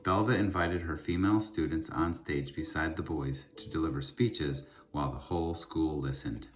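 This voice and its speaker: A man reading a children's book aloud in an expressive storytelling style, with deliberate, emphatic phrasing. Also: extremely low pitch.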